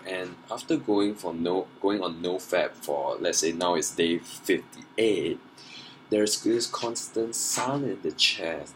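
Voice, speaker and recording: A man's voice: deep voice, fairly bright tone, slight background noise, poor audio. A young man talking earnestly and emphatically to camera in a personal challenge vlog, trying to persuade his viewers. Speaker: vlogger.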